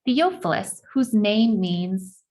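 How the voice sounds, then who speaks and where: A woman reading a children's historical novel aloud in the first person, with expressive delivery and the odd aside to her listeners. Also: medium pitch, slow pace.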